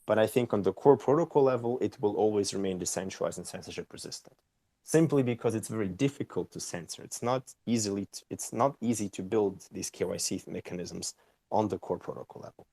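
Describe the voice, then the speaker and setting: Low-voiced man explaining. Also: brisk, warm tone, no room sound. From Austria. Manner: explanatory, tags questions with "right?". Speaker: company co-founder and CEO, guest. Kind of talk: casual interview answers.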